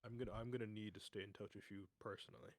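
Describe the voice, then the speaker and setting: A man voicing a brooding, vengeful male character with a sinister second self in a tabletop game, in deliberate, formal speech. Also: lowish voice, steady tone, no echo, voice pushed down, natural voice. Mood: grave, brooding.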